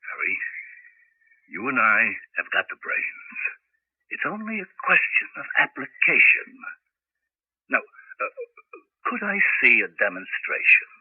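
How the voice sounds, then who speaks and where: Soothing scientist colleague, radio drama, animated intonation, raspy edge, thin dry recording. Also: male voice, mid-range voice, measured pace, pauses often, no room sound. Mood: intrigued, reassuring. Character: steady, reassuring male scientist friend and colleague. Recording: narrow phone-like old radio broadcast.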